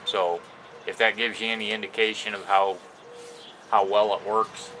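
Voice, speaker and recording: A man's voice: fairly low pitch, little pitch movement, pushed and bright. Casual man talking over outdoor noise. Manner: unscripted, plain-spoken, halting. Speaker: amateur product reviewer. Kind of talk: casual hands-on product demo. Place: outdoors on a porch. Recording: noisy outdoor recording with ambient sounds.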